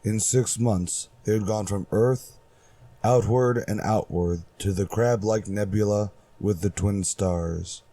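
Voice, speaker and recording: An actor playing a grave, dramatic announcer and narrator of retro sci-fi radio, solemn and portentous. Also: male voice, on the low side, steady tone, slow, warm tone, dry recording.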